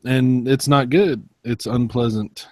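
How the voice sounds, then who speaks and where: A man's voice: mid-range voice, fairly even intonation, takes it slowly, a little mellow, no room sound. A man chatting informally as a podcast panelist, loose with his language. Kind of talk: casual chat.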